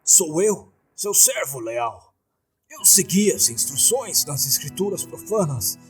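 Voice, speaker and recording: A man's voice: a little high, big pitch swings, slow pace, faint music behind, low-quality recording. A man voicing a servile, frightened cult leader who pleads nervously in a horror story. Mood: fearful pleading. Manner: stammering, pleading.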